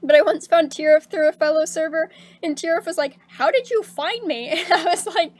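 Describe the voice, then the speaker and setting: A woman streaming casually, chatting with viewers and laughing often, with a slightly hoarse voice. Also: very high voice, brisk. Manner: bubbly and chatty, laughs often, many fillers like "um" and "like". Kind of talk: casual stream chat reading out viewer messages.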